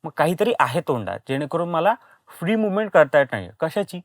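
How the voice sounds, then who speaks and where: A man's voice: on the high side, sing-song, fast, no echo, clean studio sound. A man with an Indian accent, a voice actor and podcast guest, explaining step by step in casual talk with frequent check questions.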